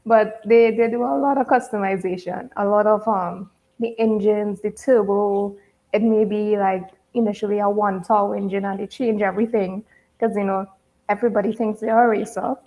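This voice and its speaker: A woman's voice: medium pitch, steady tone, moderate pace, dark tone, low-quality recording. A woman from Trinidad and Tobago, chatting casually as a guest on a live interview show and laughing easily.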